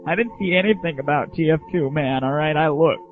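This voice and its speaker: A man's voice: a little high, brisk, soft background music. Male show host, phone-like lo-fi sound, crude and sarcastic, informal solo griping about games. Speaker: host of a gaming audio show. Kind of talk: informal solo rant and commentary. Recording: dull lo-fi 1990s internet audio, phone-like.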